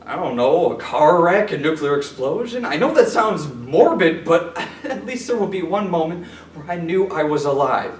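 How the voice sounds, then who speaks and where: Man acting heartbroken neurotic man, stage monologue, self-pitying, darkly wry, emphatic, slightly high voice, noisy room. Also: big pitch swings. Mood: despairing, morbid. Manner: emotional, emphatic, halting delivery. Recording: roomy, distant mic, background noise.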